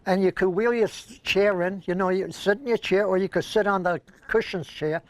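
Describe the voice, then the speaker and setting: Senior male co-host, Brooklyn accent, higher-pitched voice, anecdotal and chatty, dry recording. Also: moderate pace, no room sound, rough recording. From Brooklyn, New York, USA. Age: older, senior. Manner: rambling, anecdotal storytelling. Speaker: co-host of a senior news show. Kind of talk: casual reminiscing chat.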